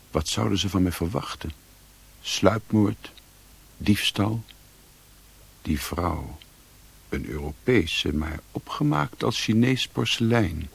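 A brooding adventurer telling his own story in a radio drama. His voice is low and creaky with a husky rasp, literary and world-weary. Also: exaggerated voice. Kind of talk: scripted first-person radio drama narration.

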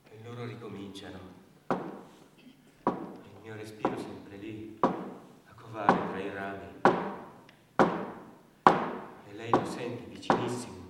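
A man playing a guilt-ridden, defensive bystander in a halting theatrical confession that repeats its phrases. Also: lowish voice, flat delivery. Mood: tense, haunted. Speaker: stage actor. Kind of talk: theatrical monologue on stage. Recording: very echoey hall, distant mic, faint noise.

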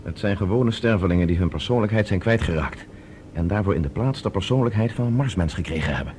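An actor playing a calm, reasoning space expedition leader with a measured, decisive way of explaining, in a science-fiction radio drama. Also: male voice, sits low. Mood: explanatory. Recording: noisy old radio drama recording.

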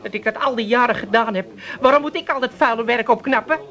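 An actress playing a fed-up, overworked working-class housewife in a comic radio play, scolding and complaining. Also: female voice, a little high, quick pace, fairly bright tone, close to an everyday voice. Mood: bitter, scolding.

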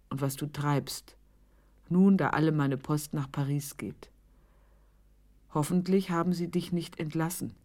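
A deep, unhurried woman letter-reader. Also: a little mellow. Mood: affectionate, amused. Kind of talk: radio drama, reading a letter aloud.

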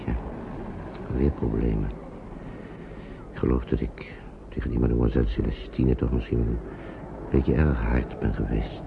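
An actor playing a worried, kindly uncle who hesitates and repeats himself in an old radio play. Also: male voice, very deep voice, fairly even intonation, moderate pace, gravelly, long pauses, heavily acted. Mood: worried, brooding. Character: worried kindly man, an uncle minding children. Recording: dull noisy old radio recording.

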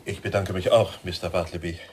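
An actor playing a dry, formal police detective in a German radio crime drama, polite and slightly husky. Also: male voice, deep voice, steady tone, a little fast, slight background noise, natural voice. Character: formal, dry male Scotland Yard inspector.

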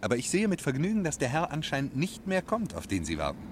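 A man's voice: a little high, huge pitch swings, quick pace, slight background noise. A man playing an ironic, talkative stage actor in a German radio play, speaking with theatrical, mocking courtesy. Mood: suave, needling.

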